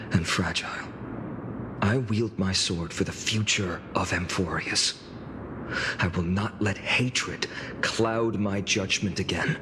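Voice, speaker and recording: Earnest low-voiced young swordsman, long reverb. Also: background noise. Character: earnest young male swordsman, serious, dutiful, self-reproaching. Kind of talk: voiced game story dialogue.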